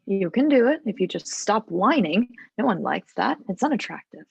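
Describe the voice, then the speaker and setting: A woman's voice: mid-range voice, very animated, normal speed, gravelly, darkish tone, husky, heavily acted. A woman acting a domineering, menacing older mother who scolds and commands in a script table read. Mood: stern, scolding. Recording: dull, roomy home recording.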